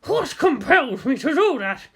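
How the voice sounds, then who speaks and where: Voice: high voice, very animated, a little slow, fairly bright tone, voice pushed up, full character voice. A performer voicing a stubborn, proud donkey, bellowing with indignation at humans in an audiobook story. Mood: indignant. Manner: bellowing, incredulous.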